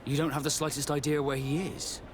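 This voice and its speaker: An actor playing a searching, formally spoken sailor companion in a video game. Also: male voice, on the high side, little pitch movement, fairly quick, close to an everyday voice. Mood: probing. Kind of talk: dramatic video game dialogue. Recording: clear outdoor ambient noise behind.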